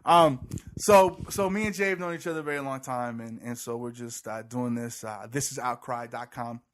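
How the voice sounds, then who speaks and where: A man's voice: mid-range voice, normal speed. A man from Puerto Rico, raised in Georgia, co-hosting a casual podcast with a rambling, joking, self-deprecating way of talking. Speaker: podcast co-host. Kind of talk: casual chat. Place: indoor room, shared studio mics.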